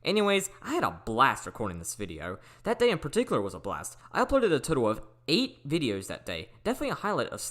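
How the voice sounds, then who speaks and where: Animated fast-talking teenage guy. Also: medium pitch. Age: teens, almost seventeen. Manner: speaks up loud and clearly. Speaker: YouTuber telling personal stories. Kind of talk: scripted storytime narration. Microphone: recorded at a computer or phone.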